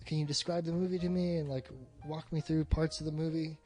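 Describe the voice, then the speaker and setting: A man's voice: fairly high pitch, little pitch movement, a little fast, dark tone, soft background music. A man talking casually and emphatically about films as a podcast co-host, opinionated and swearing freely. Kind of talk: casual film discussion chat.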